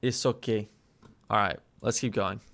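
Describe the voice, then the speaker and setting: Slow, halting man learning Portuguese. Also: lowish voice, fairly even intonation, a bit bright. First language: English. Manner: hesitant, repeats words while searching for Portuguese. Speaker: language learner and vlogger. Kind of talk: casual study vlog, thinking aloud.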